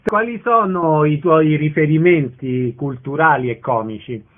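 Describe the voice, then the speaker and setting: A man's voice: a little high, a little slow, phone-quality sound. A man hosting a radio interview, introducing his guest and asking questions.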